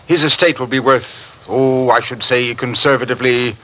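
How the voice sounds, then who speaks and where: An actor playing an earnest, dignified judge in an old-time radio drama, measured and a little hesitant. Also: male voice, medium pitch, steady tone, bright, forceful tone, lightly acted, low-quality recording. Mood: earnest, explanatory. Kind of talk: 1950s American radio drama acting.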